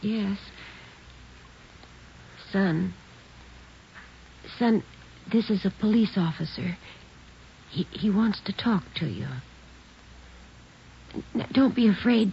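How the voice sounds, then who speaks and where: A woman playing a fretful, halting American mother of a young boy in a 1940s radio drama. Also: medium pitch, gravelly. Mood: worried, coaxing. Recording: muffled, hissy 1940s radio recording.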